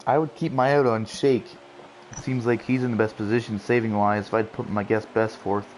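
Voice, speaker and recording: A man giving casual commentary on a sim race, excitable and lively as he calls the action. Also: fairly low pitch, a little fast, a little hiss or hum, low-quality recording.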